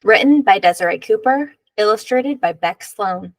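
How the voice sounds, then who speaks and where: A woman reading a children's picture book aloud in measured, expressive narration, drawing out key words. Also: medium pitch, no room sound.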